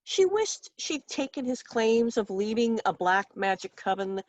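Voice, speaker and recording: A woman's voice: a little high, sing-song, unhurried, a little mellow, no room sound, lo-fi sound. Wry woman reading a story aloud, dry sound. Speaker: author reading own short story. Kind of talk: expressive literary story reading.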